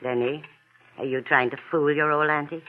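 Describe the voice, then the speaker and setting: An actress playing a doting, sharp-witted old aunt who probes gently, in a 1940s style radio drama. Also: female voice, sits low, steady tone, normal speed, lightly acted. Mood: gently suspicious. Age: older. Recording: muffled old radio recording, faint hiss.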